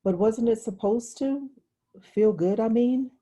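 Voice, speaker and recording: A woman's voice: a little high, warm tone, pitched above the performer's own voice. A woman reading the part of a naive, impatient little girl who fires off eager questions in a novel. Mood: eager, nosy. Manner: eager rapid-fire questioning. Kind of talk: literary audiobook dialogue.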